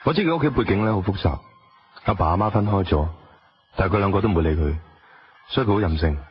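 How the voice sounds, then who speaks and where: An actor with a Hong Kong accent playing a weary, wounded estranged husband who drives a taxi, in a radio drama. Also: male voice, fairly low pitch, expressive, fast, breathy edge. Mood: reflective, rueful. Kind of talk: radio drama acting, with dialogue and reflective narration. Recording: dull lo-fi radio drama audio.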